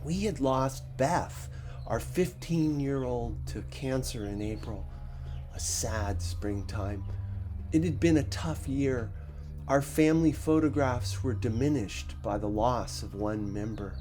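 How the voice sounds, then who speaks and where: A man reading a first-person story expressively, with a measured, dramatic delivery. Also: mid-range voice, expressive, dark tone, faint music behind. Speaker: audiobook narrator.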